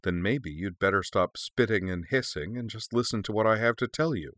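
Man as a stern, low-voiced elder dragon. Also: animated, brisk, fairly bright tone, dry recording. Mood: lecturing. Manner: grave, curt, lecturing. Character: stern, commanding elder male dragon in human form. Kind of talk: storybook character reading.

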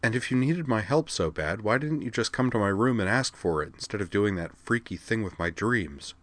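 A man's voice: on the low side, expressive, moderate pace, no room sound, voice pushed up. A man voicing a bewildered schoolgirl in a fantasy story, with an informal, blunt and questioning way of talking. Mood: blunt, confused. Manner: colloquial, incredulous, questioning. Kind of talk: fantasy audiobook character dialogue.

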